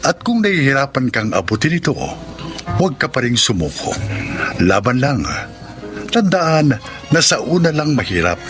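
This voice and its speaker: A male radio drama announcer reads scripted narration in a solemn, storytelling way. His mid-pitched voice rises and falls dramatically over clearly audible music. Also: very animated, moderate pace, long pauses. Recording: music bed behind voice.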